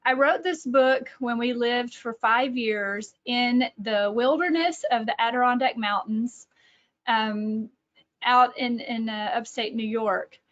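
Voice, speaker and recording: A middle-aged woman with a Texan accent speaks in a somewhat high voice. She talks informally, like a presenter thinking aloud and correcting herself as she goes. The sound is a little dull and lo-fi, as on an online call. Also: slow, fairly bright tone. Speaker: speaker, educator and author. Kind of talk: informal online talk with personal anecdotes. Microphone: video call.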